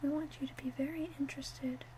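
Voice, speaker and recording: A woman's voice: high voice, steady tone, mellow, natural voice. Woman playing a whispering witch, noisy recording. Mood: gentle, unhurried. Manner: unhurried, soothing, whispery. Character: gentle, attentive witch mixing love potions. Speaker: ASMR roleplay performer. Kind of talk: soft whispered ASMR roleplay.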